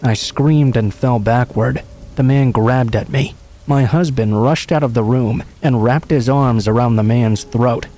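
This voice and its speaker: A man calmly narrating true horror stories in an even, scripted read. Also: mid-range voice, unhurried.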